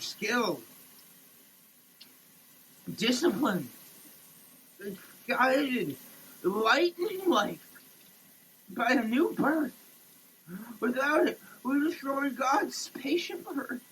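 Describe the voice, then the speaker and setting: Slow, halting theatrical reader over clear noise. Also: mid-range voice, fairly bright tone. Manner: halting, declamatory delivery with repeated words. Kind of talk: dramatic, theatrical reading of a product label. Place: in a running shower. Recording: noisy wet shower recording.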